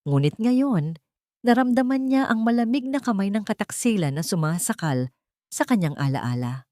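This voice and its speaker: A woman reading a scripted drama story in an even, measured narrating voice. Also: mid-range voice, no room sound, clean studio sound.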